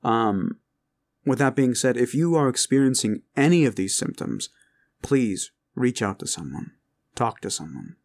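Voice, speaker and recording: Guy with some vocal fry chatting sincerely to his listeners, reassuring, average pitch. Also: sing-song, a little slow, a little mellow. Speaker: audio roleplay creator and host. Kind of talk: informal heartfelt address to listeners.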